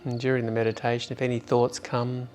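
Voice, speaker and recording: A man leading a guided meditation in an informal, unhurried way with long pauses. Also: mid-range voice, monotone, a little mellow, soft background music.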